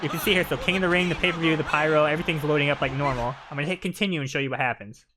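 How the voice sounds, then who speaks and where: Higher-voiced man doing casual game commentary. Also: very fast, background noise. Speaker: gaming video host.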